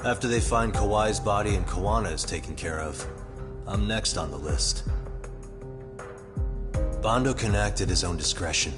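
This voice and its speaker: An actor playing a determined, blunt detective in a game drama, with a terse, cool, dry delivery. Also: male voice, on the low side, normal speed, pauses often, music in the background, natural voice. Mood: grim, resolute. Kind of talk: dramatic video game cutscene acting.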